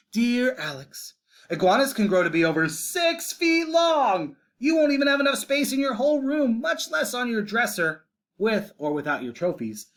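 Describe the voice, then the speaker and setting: A skeptical, patient mother read out by a man from a storybook. The delivery is wry and measured, with lively rises and falls in pitch. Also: heavily acted. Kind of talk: storybook read-aloud for children.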